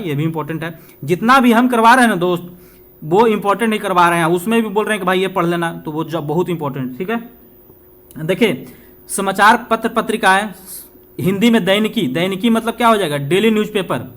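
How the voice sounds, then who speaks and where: A man's voice: sits high, a bit bright. A man from India, teaching exam revision informally, repeating points and answering his own questions, with chatty check-ins. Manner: repetitive, asks questions then answers them, chatty check-ins with listeners.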